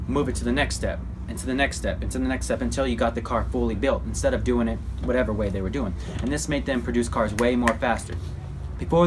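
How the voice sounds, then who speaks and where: A man's voice: medium pitch, background noise. A man giving an informal mock news report in a folksy, rambling, repetitive way. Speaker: presenter in a student history project.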